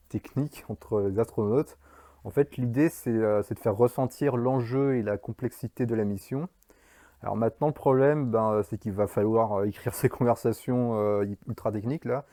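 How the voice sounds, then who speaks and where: Chatty man with a low mellow voice. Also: no room sound. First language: French. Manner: chatty, many fillers and restarts. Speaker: indie game developer. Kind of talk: casual devlog narration.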